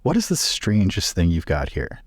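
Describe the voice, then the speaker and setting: Low-voiced man playing a tabletop game. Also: huge pitch swings, normal speed, no room sound, clean studio sound. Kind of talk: casual roleplay and game chat.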